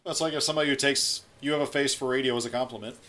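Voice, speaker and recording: A man co-hosting a casual podcast with wry, deadpan remarks. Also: mid-range voice, racing. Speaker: podcast co-host.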